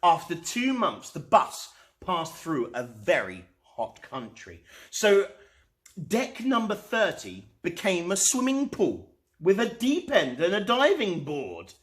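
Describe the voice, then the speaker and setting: A man reading a children's storybook aloud as host, expressively and with dramatic pauses. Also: sits high. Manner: animated, unhurried, dramatic pauses.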